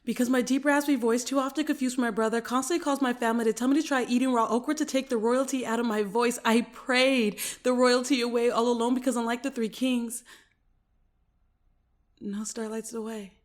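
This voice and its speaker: A woman in her twenties performing dramatic spoken word poetry, with theatrical, deliberate phrasing and emphatic stresses. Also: fairly high pitch, steady tone. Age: 20s.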